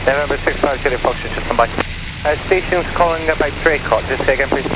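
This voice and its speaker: A man's voice: medium pitch, fast. A man working as an air traffic controller, giving clipped procedural instructions over aviation radio. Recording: radio transmission under loud engine noise. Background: aircraft engine.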